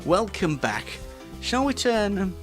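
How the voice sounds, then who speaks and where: A man presents a homemade radio show in a casual, rambling manner. His voice sits fairly high and swings about animatedly. He keeps gently putting himself down as he goes. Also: sing-song, slow pace. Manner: rambling, self-deprecating. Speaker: host of an online radio show. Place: home studio and downstairs rooms. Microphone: switches between wireless and studio microphones. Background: background music in places.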